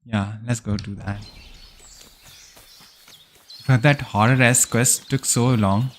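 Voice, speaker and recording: A man's voice: mid-range voice, steady tone, slow. Chatty man streaming a game. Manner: chatty, answers chat, thinks aloud with fillers. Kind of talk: casual live-stream commentary.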